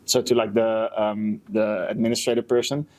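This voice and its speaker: A man's voice: lowish voice, level tone, moderate pace, a little mellow. Rambling male podcaster. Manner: rambling, self-correcting. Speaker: podcast co-host. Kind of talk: casual chat, personal storytelling.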